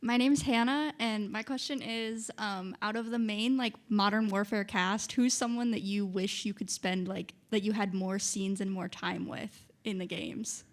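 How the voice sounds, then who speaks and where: Woman asking a panel question, mid-pitched. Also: fairly even intonation, unhurried, few pauses. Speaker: audience member asking a question. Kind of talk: casual question.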